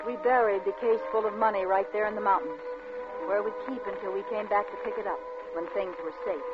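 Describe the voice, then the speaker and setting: A woman's voice: medium pitch, steady tone, fairly quick, close to an everyday voice. A woman playing a cool, scheming payroll thief in a 1940s radio drama, often narrating her crime. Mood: cool, recounting. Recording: old muffled radio drama, music behind.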